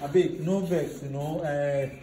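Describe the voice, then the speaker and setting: A man's voice: very high voice, big pitch swings, normal speed, a little mellow, a little hiss or hum. A man playing a stern older brother who scolds his sister, then turns apologetic. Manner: scolding, mixes Nigerian Pidgin and English. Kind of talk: comedy skit acting. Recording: echoey room, distant mic, music.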